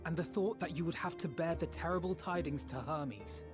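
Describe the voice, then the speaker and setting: An earnest young male hero played by a man with a fairly high voice speaks deliberately and with compassion. It is dramatic video game acting, with music clearly behind him. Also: close to an everyday voice. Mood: grave, empathetic.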